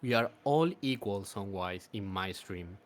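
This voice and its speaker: A man with a fairly low voice who speaks as a talks loudly and chattily, dropping laughing asides as he goes. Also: a little slow. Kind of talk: casual gaming chat.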